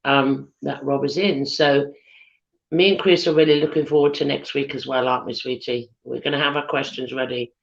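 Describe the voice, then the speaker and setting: A British woman hosting a live chat show on a video call, husky from losing her voice, chatty with lots of ums. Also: a bass voice, fairly even intonation, moderate pace. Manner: chatty, giggly, many ums. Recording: dull lo-fi video call audio.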